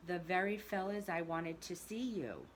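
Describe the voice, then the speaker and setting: Woman doing a bragging storybook toad, loud and gushing, lively sing-song delivery. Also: fairly low pitch, normal speed, a little hiss or hum, voice pushed up, close to an everyday voice. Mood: exuberant. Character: boastful excitable rich male toad.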